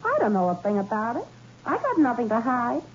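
An actress playing a tough, cynical former maid in a 1960s radio thriller, curt, sarcastic and dismissive. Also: female voice, fairly high pitch, sing-song, brisk. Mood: scornful, defiant. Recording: dull, hissy old radio recording.

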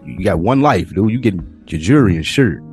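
A man co-hosting a podcast, chatting loosely in slang and working through his points with pauses and restarts. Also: low voice, lively intonation, darkish tone, faint music behind. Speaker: podcast co-host. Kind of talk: casual chat.

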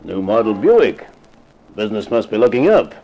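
An actor playing a suspicious, sarcastic police lieutenant who probes and insinuates, in a radio crime drama. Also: male voice, fairly low pitch, big pitch swings, moderate pace, dull audio. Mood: suspicious, needling. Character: suspicious, needling hard-boiled male police lieutenant. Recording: noisy old 1950s radio recording.

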